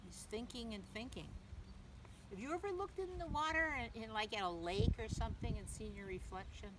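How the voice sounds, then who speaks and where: A woman reading a picture book aloud to young children, gently, in simple phrases, pausing to ask the listener questions. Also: a little high, animated. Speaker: children's story reader, teacher. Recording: clearly noisy background.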